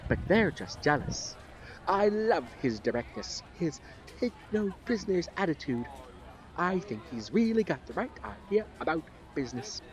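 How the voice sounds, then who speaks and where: An actor plays an eager, starry-eyed man with a fairly high voice and very lively intonation. He makes earnest, gushing small talk as a true believer in an audio drama. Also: moderate pace. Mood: gushing admiration. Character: eager, starry-eyed male devotee of an organization.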